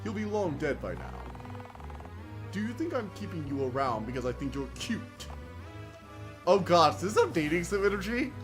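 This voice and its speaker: A man streaming a video game, chatting excitably and reading the game text aloud, laughing often, with a slightly whiny voice. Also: high-pitched, moderate pace, long pauses. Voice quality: self-described whiny voice. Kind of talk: casual let's-play commentary, reading game text aloud. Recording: game music behind.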